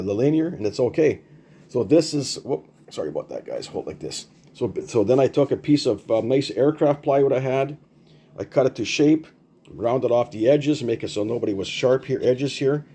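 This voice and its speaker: A man's voice: medium pitch, animated, a little fast, warm tone, breathy edge. An older man giving a casual, unscripted hands-on demonstration in a rambling, repetitive, plain-spoken way, like a hobbyist showing off a homemade project. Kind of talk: casual unscripted show-and-tell.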